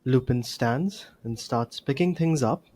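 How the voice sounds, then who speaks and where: A man's voice: medium pitch, a little slow, a little mellow. A man narrating a scripted story for an audio drama.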